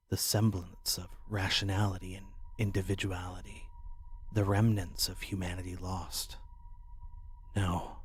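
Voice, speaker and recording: An actor playing a haunted, paranoid man who narrates his own mystery in an audio drama, brooding and deliberate, with a husky voice. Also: deep voice. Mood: grim, defiant.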